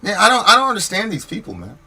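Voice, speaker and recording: An American man argues into the mic with a sharp, forceful edge, and his voice sits a little higher than most. He fires off rhetorical questions and restarts sentences as he presses his point. It sounds like a podcast host answering his chat. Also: huge pitch swings, fast talker, breathy edge, faint music behind. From United States. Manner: repeats words and restarts when worked up. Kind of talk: heated livestream commentary answering chat comments.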